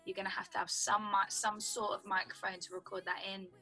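A young British woman with a husky voice, a songwriter guest chatting casually over a video call from home. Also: medium pitch, little pitch movement, brisk, bright, forceful tone, faint music behind. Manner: chatty, explains with lots of "like" and "do you know what I mean". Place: home room indoors. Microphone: video call webcam.

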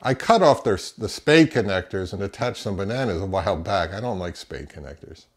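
Low-voiced middle-aged man reviewing gear. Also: animated, fairly quick. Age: 50s to early 60s. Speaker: audio gear reviewer, channel host. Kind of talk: product review narration; conversational, to camera.

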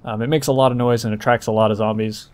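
A man's voice: mid-range voice, steady tone, fast, darkish tone. Man giving a casual game walkthrough. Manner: informal, explanatory. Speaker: gameplay walkthrough host.

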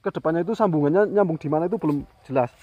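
A man's voice: a little high, very fast, no room sound, dull audio. A man narrating a motorbike trip vlog in an easygoing, casual way, recorded outdoors on a rural road. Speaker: motovlogger. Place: outdoors on rural mountain roads by tea fields.

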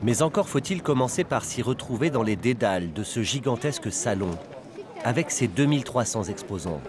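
A man's voice: medium pitch, animated, normal speed, a crowd in the background. A man reading scripted documentary narration as a voice-over. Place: voice-over over location sound. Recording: faint background noise under voice-over.

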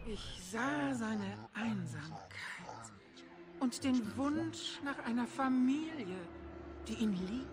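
A woman playing a seductive, possessive and self-assured female desire demon in a fantasy game, with silky, deliberate delivery. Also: on the high side, sing-song, over music. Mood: persuasive, proud. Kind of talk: dubbed fantasy game acting.

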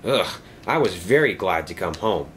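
A man's voice: sits low, expressive, moderate pace, fairly bright tone, a little hiss or hum. A man voicing a grumpy, disapproving male engine who grumbles scornfully. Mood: grumbling.

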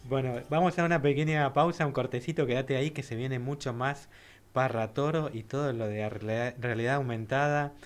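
A man's voice: mid-range voice, fairly quick. A man hosting a radio interview in a studio, chiming in with encouragement and announcing a break. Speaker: radio host. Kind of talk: casual interview chat. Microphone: studio microphone with headphones.

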